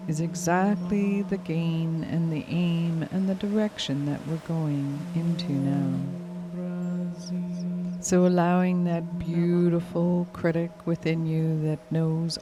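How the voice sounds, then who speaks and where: Slow, soothing woman guiding meditation over music. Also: lowish voice, warm tone. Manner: soothing, unhurried, lulling delivery. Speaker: guided meditation narrator, hypnotherapist. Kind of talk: guided hypnosis meditation.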